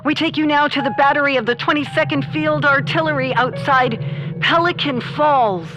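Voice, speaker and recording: A woman playing a formal, measured news announcer in a mock-serious retro radio drama. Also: sits high, close to an everyday voice. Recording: dull, dry lo-fi sound.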